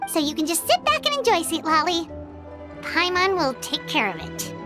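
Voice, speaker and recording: A woman's voice: extremely high pitch, big pitch swings, normal speed. A woman voicing a tiny chirpy female fairy guide in a video game, talking about herself in third person. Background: game music.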